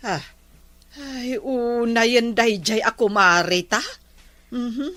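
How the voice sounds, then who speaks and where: Barangay comedy drama: scolding, tight-fisted village woman played by an actress, sharp tone, deliberate pace, close dry sound. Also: on the high side, no room sound. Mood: indignant. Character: scolding village woman who refuses to lend her things. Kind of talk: Ilocano comedy drama dialogue.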